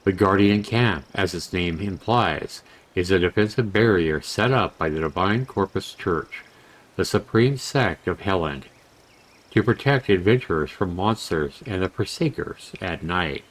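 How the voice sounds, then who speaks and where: A man reading a fantasy game's story aloud as narrator, in a measured, dramatic storytelling manner. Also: deep voice, lively intonation, low-quality recording. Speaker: game reviewer narrating a visual novel playthrough. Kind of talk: storytelling narration read aloud from on-screen text.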